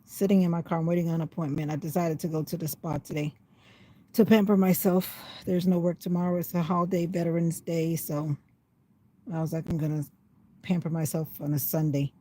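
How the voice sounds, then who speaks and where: Chatty older woman, slightly raspy voice. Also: deep voice, level tone, fairly quick, dark tone, poor audio. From USA. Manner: rambling, chatty, talking to herself. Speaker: vlogger. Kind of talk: casual livestream chat. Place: inside a parked car in the rain.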